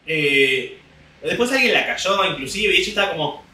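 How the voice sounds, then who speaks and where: A man's voice: high-pitched, lively intonation, moderate pace, sharp tone, roomy sound, distant mic, a little hiss or hum. A young man with an Argentine Spanish accent telling a rambling comic story in a casual monologue. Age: young adult. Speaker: comedian podcast host. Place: small home room indoors. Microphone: desk mic on stand, camera at distance.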